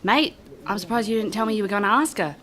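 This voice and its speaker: A woman's voice: medium pitch, lively intonation, fast, fairly bright tone, natural voice. A woman playing a brash, cheeky, big-hearted elf in an audio drama, with a British accent and wry, colloquial talk. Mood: warm, flustered. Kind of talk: audio drama acting and first-person narration.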